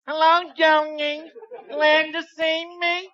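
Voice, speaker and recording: A performer voicing a lazy, dim-witted young man with a slow deadpan delivery. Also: sits high, no room sound, highs cut off.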